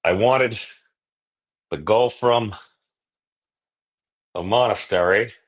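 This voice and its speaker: Male livestream host, lowish voice. Casual, digressive, jokey and happy to poke fun at himself. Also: slow. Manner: self-deprecating, jokey, digressive. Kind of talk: casual rambling livestream chat.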